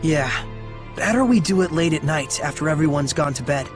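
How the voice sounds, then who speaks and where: An actor playing an earnest, kindhearted young shepherd hero in a video game, speaking casually and sincerely. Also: male voice, on the high side, lively intonation, brisk, over music, close to an everyday voice. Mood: apologetic, calm. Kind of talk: English video game dub acting.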